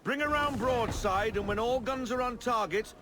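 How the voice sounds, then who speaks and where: An actor playing a spirited, seasoned sailor in a video game, with a salty, hearty way of talking. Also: male voice, extremely high pitch, moderate pace, noisy background. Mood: hearty, instructive. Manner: salty, hearty, old-time seafaring talk. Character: gruff, spirited older seasoned naval sailor mentoring a young captain. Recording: music behind the voice.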